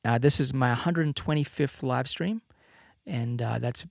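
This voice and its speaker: A man's voice: medium pitch, measured pace, gravelly, no echo, clean studio sound. Man with a mixed Australian-American accent. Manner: relaxed, rambling, many fillers and restarts. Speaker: musician hosting a livestream. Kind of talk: casual chat to viewers. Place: home room with a slight added reverb.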